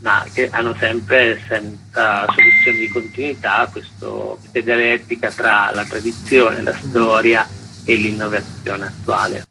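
A man's voice: fairly low pitch, flat delivery, measured pace, gravelly, background noise. A man being interviewed as an artistic director, explaining things formally in wordy, intellectual phrasing.

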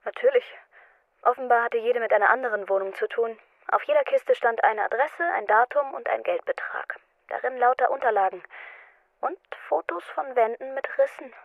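An actress playing a brisk, evasive woman in a radio drama, talking casually. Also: fairly high pitch, dry recording, phone-quality sound. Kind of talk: German radio drama acting.

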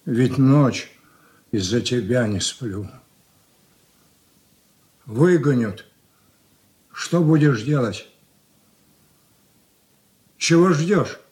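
A stern old merchant father from a Soviet radio play. He speaks very slowly at a middling pitch, weary and reproachful. His wording is plain, old-fashioned and scolding. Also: a little mellow. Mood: rising anger. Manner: slow, reproachful, old-fashioned colloquial speech. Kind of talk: Soviet radio drama acting.

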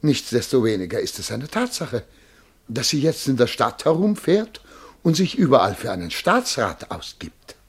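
A self-important official in a radio drama, flustered and indignant. His husky voice sits fairly high and swings widely in pitch as he pleads, halts and puffs himself up. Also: big pitch swings. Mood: pleading, flustered. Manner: pompous, halting, pleading. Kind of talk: German radio play acting.

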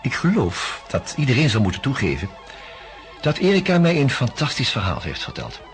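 Reflective psychiatrist with lively intonation. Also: male voice, mid-range voice, moderate pace, soft background music. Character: measured, open-minded male psychiatrist investigating a case. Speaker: actor and narrator in a radio drama. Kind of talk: radio drama acting and first-person narration.

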